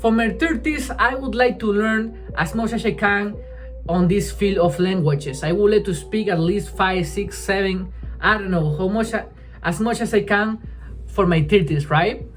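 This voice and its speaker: A woman whose first language is Spanish talks casually in English, in a voice of middling pitch. She halts, restarts her phrases and keeps checking in with "right?". Soft music plays faintly underneath. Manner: halting, restarts often, keeps adding "right?". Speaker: language-learning vlogger. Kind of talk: casual chat to camera.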